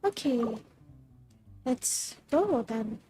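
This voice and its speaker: High, mellow Indian woman streamer. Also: very animated, very slow, breathy edge, faint music behind. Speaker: game streamer. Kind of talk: casual live gameplay commentary, reading game text aloud.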